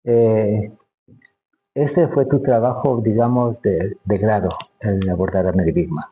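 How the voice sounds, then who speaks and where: An older man with an Ecuadorian accent interviewing in a casual way, halting and repeating phrases as he searches for words. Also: fairly low pitch, fairly even intonation, slightly rough, highs cut off.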